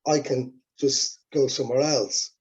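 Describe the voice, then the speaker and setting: Husky Irish man on a dull call line. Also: medium pitch, fairly even intonation, measured pace, a little mellow. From Ireland. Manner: rambling, reflective, many restarts. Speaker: musician guest. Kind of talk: casual interview talk.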